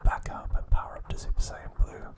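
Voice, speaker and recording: A man whispering a calm ASMR recap, narrating softly with occasional fillers and self-corrections. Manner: soft, slow, unvoiced whispering close to the mic. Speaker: ASMR channel host.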